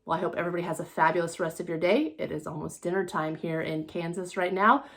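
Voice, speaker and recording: A woman's voice: lowish voice, steady tone, fast talker, a bit bright. An American woman speaking as a motivational coach in a casual monologue, earnest and encouraging, repeating phrases for emphasis.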